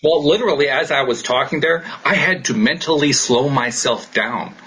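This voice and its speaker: A man hosting a podcast in casual, unscripted chat, with an enthusiastic, excitable manner that tends to speed up. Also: mid-range voice, normal speed, a little hiss or hum, rough recording. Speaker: podcast host.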